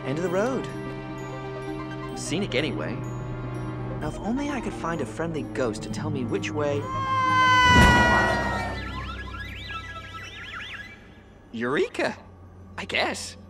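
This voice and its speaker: A man voicing a cheerful, wisecracking yellow cartoon hero in a video game, with breezy sarcastic quips. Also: on the high side, huge pitch swings, moderate pace, gravelly, long pauses, heavily acted. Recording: loud game music over voice.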